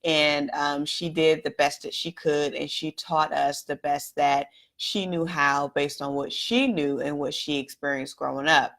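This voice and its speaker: A woman from Washington, D.C. hosting a live stream, telling her own story in a candid, reflective way straight to viewers, her voice a little raspy. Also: deep voice, fairly even intonation, unhurried, hardly any pauses, a bit bright. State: slightly raspy voice. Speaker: host.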